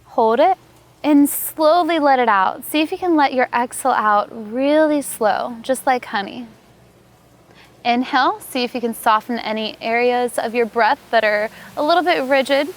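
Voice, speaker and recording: A woman yoga teacher leading a guided meditation, giving calm, unhurried, soothing instructions. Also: high voice.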